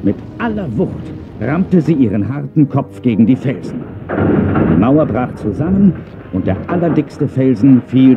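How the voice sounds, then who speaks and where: A man narrating a scripted children's story in a dramatic storytelling manner. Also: medium pitch. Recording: dull cassette sound with music.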